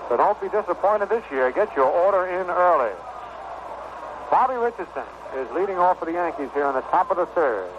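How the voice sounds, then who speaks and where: Baseball play-by-play announcer, American man, 1960s radio style, fairly high voice, with clear noise on the recording. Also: sing-song, fairly quick. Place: ballpark broadcast at a stadium. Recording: dull, noisy vintage radio broadcast.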